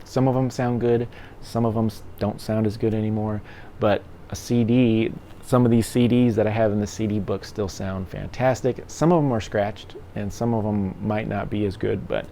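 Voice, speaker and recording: Male vlogger, middle-aged. Very mellow, lowish voice, loose rambling delivery, noticeable background noise. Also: steady tone, normal speed, gravelly. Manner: rambling, conversational. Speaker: music gear reviewer and vlogger. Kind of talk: casual opinion vlog.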